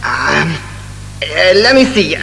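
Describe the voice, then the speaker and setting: A man playing a flustered, hesitant game show contestant in an audio drama, stammering and halting. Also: on the high side, very animated, gravelly, bright, forceful tone, heavily acted. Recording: roomy echoing hall, faint hum.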